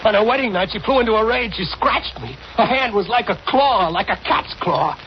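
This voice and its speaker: An actor playing a terrified, haunted husband with a fearful, halting, melodramatic manner in 1940s radio drama. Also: male voice, high voice, expressive. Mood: desperate. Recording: muffled, hissy old radio recording.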